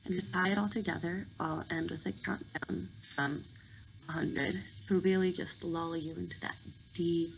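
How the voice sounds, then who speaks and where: A woman with a slightly low, crackly voice guides a sleep meditation at a very unhurried pace. She sounds breathy and soothing, giving gentle, informal instructions. There is some audible noise behind her. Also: steady tone. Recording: clear background noise.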